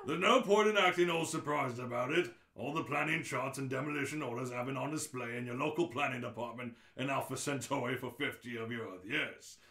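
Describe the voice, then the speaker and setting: A man's voice: mid-range voice, brisk, hardly any pauses, bright, forceful tone, close to an everyday voice. A man voicing a pompous, callous alien bureaucrat in a radio play read-through, exasperated and official. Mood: exasperated, scornful. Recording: roomy, echoing room sound.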